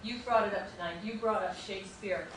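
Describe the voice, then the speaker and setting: Female audience member, spontaneous question, middling pitch, far off-mic, strongly reverberant hall. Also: brisk, a bit bright, slight background noise.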